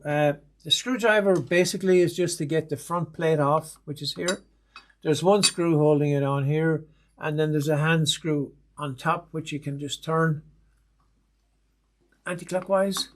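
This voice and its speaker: A middle-aged Irish man, a sewing machine technician, giving a methodical, plain-spoken demonstration with frequent ums and uhs. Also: on the high side. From Ireland. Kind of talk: instructional demonstration.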